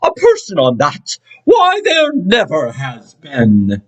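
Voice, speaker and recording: A man's voice: on the high side, huge pitch swings, takes it slowly, full character voice. A man doing a sour, sneering kangaroo lady. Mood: scornful. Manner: sneering and mocking. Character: sour, scornful female kangaroo. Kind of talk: storybook read-aloud.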